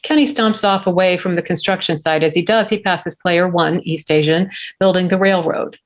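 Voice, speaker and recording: A woman's voice: on the low side, fairly even intonation, normal speed. A woman reading scene directions in scripted narration at a table read. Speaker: stage direction reader. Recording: slightly dull lo-fi audio.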